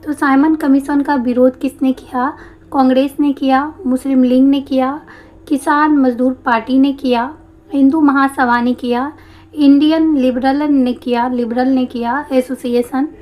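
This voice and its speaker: A woman teacher with a slightly hoarse throat, explaining a history lesson step by step and answering her own questions. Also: sits high, fairly even intonation, unhurried, a little mellow. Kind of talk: exam-prep history lesson.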